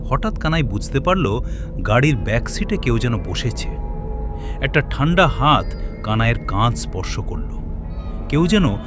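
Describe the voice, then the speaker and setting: A man narrating a scripted horror story in a dramatic, suspenseful, expressive way. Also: medium pitch. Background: suspense music, cricket sounds.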